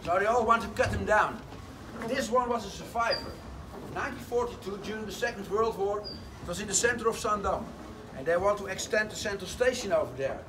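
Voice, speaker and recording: A man, telling a tour group a lively story inside a large wooden sawmill. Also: sits high, a little fast. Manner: lively storytelling, slightly broken English phrasing. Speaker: windmill guide. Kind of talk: guided tour talk. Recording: distant voice with noisy background.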